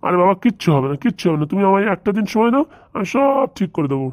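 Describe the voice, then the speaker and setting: A man's voice: high voice, sing-song, racing. A man voicing a harried, coaxing village husband in a comedy cartoon. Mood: reassuring. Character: harried village husband and father-to-be, soothing his wife. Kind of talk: dubbed comedy cartoon dialogue.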